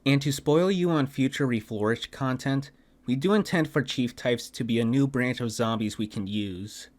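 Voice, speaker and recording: A man's voice: medium pitch, moderate pace. A man giving a casual commentary on voice work for a video game, speaking as the developer and voice actor.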